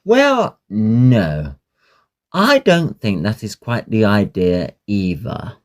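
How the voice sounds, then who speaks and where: Audio drama: male actor as breezy undergraduate, low pitch, unhurried, swooping storyteller's delivery, slangy and chummy. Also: big pitch swings. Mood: gossipy, dramatic. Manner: jaunty, storytelling, old-fashioned slang. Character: chatty, breezy Victorian Oxford student, gossipy young man. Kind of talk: Victorian audio drama dialogue.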